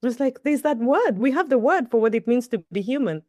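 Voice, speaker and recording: A woman's voice: a little high, lively intonation, fairly quick, mellow, no room sound. A middle-aged Kenyan woman, a native Kikuyu speaker, answering interview questions in a thoughtful, storytelling way. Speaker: guest. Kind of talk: reflective interview talk.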